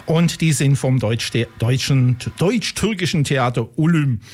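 A middle-aged or older man with a husky voice, hosting a casual radio interview in a rambling, conversational way. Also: mid-range voice, lively intonation, a little slow, darkish tone. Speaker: radio host.

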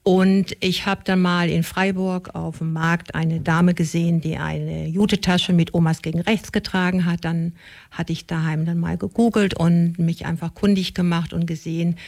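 A woman, the founder of a local group, telling her story in a casual interview with many pauses and fillers. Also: lowish voice, steady tone, gravelly, a little mellow. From Germany. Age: 60s.

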